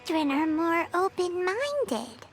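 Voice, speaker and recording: A woman voicing a tiny, excitable childlike female companion creature in an anime-style video game. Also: extremely high pitch, slow, full character voice. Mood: curious, chatty. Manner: speaks of herself in third person, exclaiming. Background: music.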